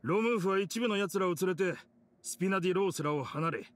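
An actor playing a rough-spoken, loyal young gentleman in a Japanese video game, blunt and emotive. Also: high voice, a little fast, natural voice. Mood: serious explaining. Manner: emotive, blunt casual speech.

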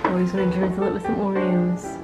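A woman's voice: fairly low pitch, monotone, fast talker. A young American woman casually and playfully hosting a recipe demonstration in a home kitchen, heard from a camera a few steps away. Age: young adult. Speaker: cooking vlog host. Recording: roomy, distant mic, loud music behind.

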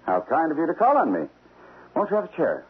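An actor playing a two-faced, nervous stockbroker in a radio drama, theatrical and evasive. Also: male voice, on the high side, wildly swooping pitch, fast talker, husky, full character voice. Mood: gushing, evasive. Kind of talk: old-time radio drama acting. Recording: tinny old radio broadcast.

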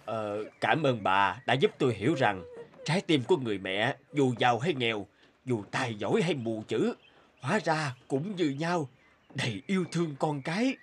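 A man voicing a plain-spoken, easily moved construction worker in an animated morality tale. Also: medium pitch, normal speed, slightly rough. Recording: faint music behind the voice.

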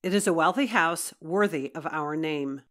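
A woman's voice: sits low, moderate pace, fairly bright tone. An actress playing a stern, unyielding middle-aged Roman mother in an audio drama, with a commanding manner.

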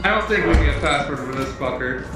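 An animated gamer banters with friends in a swinging, mid-pitched voice, repeating phrases. Very loud music runs under the game chat. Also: fast. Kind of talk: casual gaming banter.

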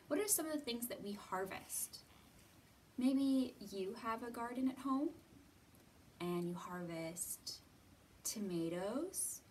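A woman's voice: medium pitch, darkish tone. An American woman in her thirties leading a children's storytime lesson in simple, encouraging, instructional phrases. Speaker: children's librarian and storytime host. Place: library room, indoors. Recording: distant mic with background hiss.

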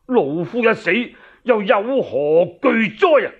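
A man voicing a righteous, defiant general in his seventies, furious and scornful, with drawn-out declamatory delivery in a storytelling drama. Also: sits high, very animated, phone-quality sound, voice pushed up, full character voice. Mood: proud defiance.

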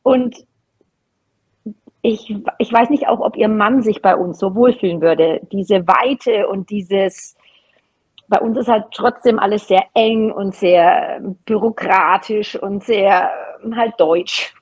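A woman's voice: mid-range voice, slow pace, husky. A woman in her fifties from Franconia, talking casually as a podcast guest on a video call. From Franconia, Germany. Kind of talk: casual interview chat. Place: room at home. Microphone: video call with wireless earbuds.

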